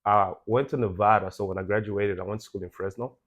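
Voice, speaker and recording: A young man from Belgium speaks in a very low voice, telling stories casually and putting on quoted lines as he goes. The recording is slightly dull and lo-fi. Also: fast talker. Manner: animated, acts out quoted exchanges. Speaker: podcast guest, nightlife VIP host. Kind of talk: casual interview storytelling.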